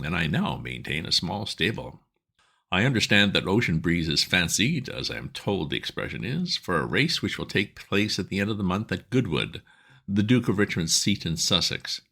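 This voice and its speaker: A man voicing a pompous elderly English lord who speaks in stiff, formal, measured sentences. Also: deep voice, vocal fry, pushed and bright, exaggerated voice. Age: older.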